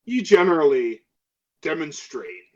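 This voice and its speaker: A man's voice: fairly high pitch, sing-song, a little slow. Man as a skeptical crewman, muffled lo-fi sound. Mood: argumentative. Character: skeptical, self-interested crew member demanding proof.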